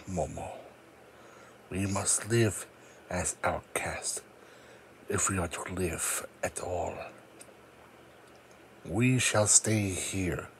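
A man voicing a brooding, proud cloned psychic creature in an audio roleplay, speaking solemnly with dramatic, deliberate pauses. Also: low voice, a little hiss or hum. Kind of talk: scripted ASMR audio roleplay monologue.

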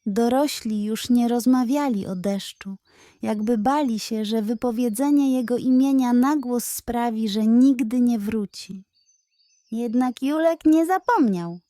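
A woman's voice: a little high, mellow, no room sound. A woman narrating a scripted bedtime story for children.

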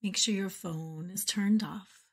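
A woman leading a guided meditation in a soft, breathy, soothing voice with measured phrasing and pauses. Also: medium pitch. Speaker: meditation guide and podcast host.

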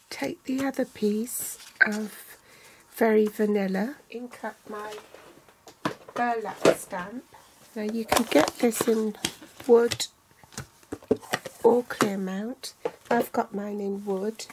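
An older woman calmly walking through a card-making tutorial step by step, reading out measurements in inches and centimeters. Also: a little high, very noisy. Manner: calm, measured, reads out sizes in inches and centimeters.

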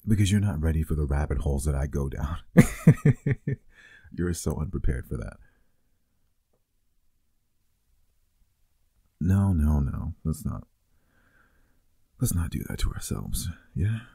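Male actor as teasing, loving boyfriend for ASMR roleplay; extremely dark, mellow, husky voice, close-miked. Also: a bass voice, big pitch swings, a little slow, long pauses, dry recording, full character voice. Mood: playful, embarrassed. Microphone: close microphone.

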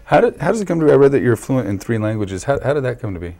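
Fast-talking young sports anchor, man. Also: medium pitch, big pitch swings, warm tone. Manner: upbeat broadcast delivery, short stumbles. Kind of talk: sports news interview hosting. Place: small local TV news studio, indoors. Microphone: lapel mic.

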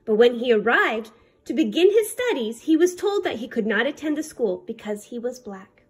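A woman reading a children's picture book aloud as a librarian, in careful, deliberate short phrases. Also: fairly high pitch, big pitch swings, husky.

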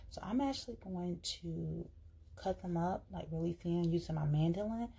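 Husky, low-voiced woman chatting through a plan. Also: moderate pace, mellow. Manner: chatty, with fillers and restarts. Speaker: vlogger. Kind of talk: casual vlog, planning meals while writing. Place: indoors at a table.

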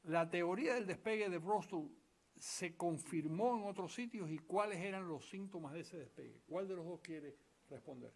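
A middle-aged man moderates a panel at a conference table, thanking the speaker and posing questions in a measured way, with some mid-phrase restarts. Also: high-pitched, creaky, darkish tone. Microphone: table stand mic.

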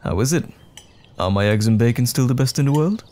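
A man playing a loving, caring boyfriend in an intimate comfort audio roleplay, speaking gently and soothingly with affectionate teasing. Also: medium pitch, expressive, fast, slight background noise, lightly acted. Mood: cheerful, teasing.

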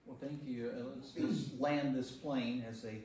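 A man's voice: mid-range voice, steady tone, unhurried. An American man, a pastor leading an informal Bible study, talking conversationally and repeating phrases for emphasis. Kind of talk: informal group teaching and discussion. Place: echoey indoor group meeting room. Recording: echoey room, distant mic, faint noise.

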